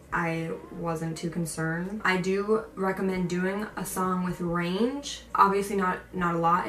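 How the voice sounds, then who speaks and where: A woman's voice: on the low side, a bit bright. A young woman chatting casually as a vlogger, informal and emphatic, repeating phrases as she tells stories and gives encouraging advice. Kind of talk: casual chat to camera, storytelling and advice. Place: indoor room. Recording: roomy echo, distant mic, faint noise.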